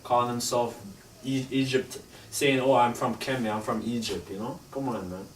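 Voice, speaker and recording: A man's voice: medium pitch, steady tone, normal speed. A man in a casual religious discussion, arguing his points through rhetorical questions. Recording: echoey room from far off with faint noise.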